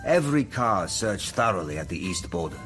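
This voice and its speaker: A man's voice: deep voice, very animated, a little fast, fairly bright tone, faint music behind. An actor playing a hospitable, worldly man in a radio drama, talking in a knowing, speculative way.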